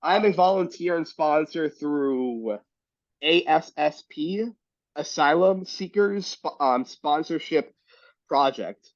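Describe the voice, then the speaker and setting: A man with a raspy voice from being sick, stumbling and restarting as he reads aloud and discusses. His pitch sits a little high. The audio is dry and dull. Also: dry recording. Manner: halting, many restarts. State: sick, coughing. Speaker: reading group member. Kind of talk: casual discussion and reading aloud.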